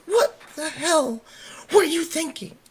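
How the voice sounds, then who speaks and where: A woman's voice: sits high, expressive, slow. A woman playing a stern, worried mother in an audio drama, questioning her teenage son with exasperation. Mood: exasperated, worried. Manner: scolding, pointed questioning. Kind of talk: amateur audio drama roleplay.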